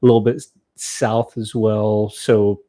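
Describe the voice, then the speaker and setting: A man's voice: fairly low pitch, little pitch movement, dark tone. Chatty northwest English man. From England. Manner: chatty, laughs often, breaks into quick voice impressions. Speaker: podcast co-host. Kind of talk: casual chat.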